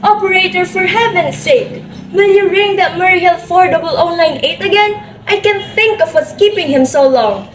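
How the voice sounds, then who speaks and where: Woman acting very high-pitched, fretful bedridden wife. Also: moderate pace. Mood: agitated. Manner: fretful, indignant, dramatic complaining. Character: neurotic, imperious bedridden wife, frightened and demanding. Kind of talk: radio play acting. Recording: roomy, with background hiss.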